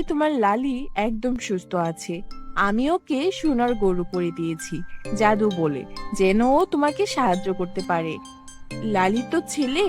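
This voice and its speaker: A woman plays a magical cartoon fairy with a high, animated voice over background music. She is benevolent and a little bossy, handing out grand instructions. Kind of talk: cartoon fairy-tale voice acting.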